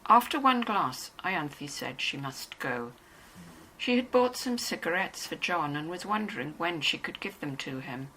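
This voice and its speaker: Woman narrating a novel, low pitch but crisp bright tone, slight noise behind. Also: animated, a little slow, rough recording. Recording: faint background noise and ambience.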